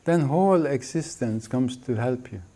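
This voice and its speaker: Calm man teaching in short phrases. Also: mid-range voice. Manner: gentle, unhurried, speaking in short phrases for an interpreter. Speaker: spiritual teacher. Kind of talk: slow spoken teaching.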